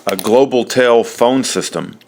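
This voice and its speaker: A man talking through an informal how-to, explaining step by step in a halting way and repeating himself. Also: medium pitch, darkish tone. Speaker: presenter of a how-to video. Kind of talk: informal spoken tutorial, step by step.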